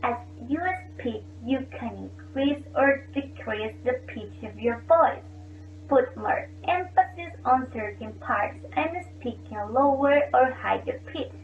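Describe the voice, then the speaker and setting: A high-pitched woman reads a student presentation slowly and carefully. She sounds distant and tinny, as if over a thin phone-like line in an echoey room. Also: a little hiss or hum. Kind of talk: reading a class presentation.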